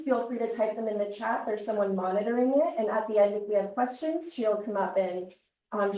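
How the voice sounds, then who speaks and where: A woman's voice: on the high side, dark tone. A woman social worker hosting an event, upbeat and then reading a formal introduction of the speaker. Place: seminar room. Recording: roomy, from far off the mic.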